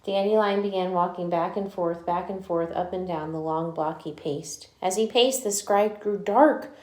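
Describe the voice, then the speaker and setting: A woman's voice: fairly low pitch, moderate pace. Woman reading aloud in an echoey room. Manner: animated storytelling with chatty asides. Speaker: teacher reading aloud to children. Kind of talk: expressive picture-book read-aloud.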